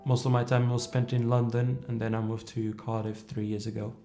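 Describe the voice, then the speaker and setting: A man's voice: mid-range voice, completely flat delivery, a little fast, dark tone. A man in his thirties with a Portuguese accent, a native Portuguese speaker, speaking hesitantly with restarts in a documentary interview. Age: 30s. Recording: roomy interview with music bed.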